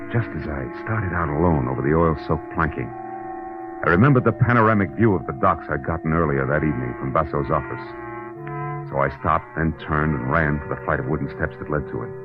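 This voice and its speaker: A man's voice: extremely low pitch, expressive, brisk. An actor playing a tough, wisecracking private detective in an old-time radio drama, sardonic and clipped, narrating his own case. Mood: tense, wry narrating. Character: hard-boiled private detective, tough, wisecracking adult man. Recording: dull old radio recording, music behind.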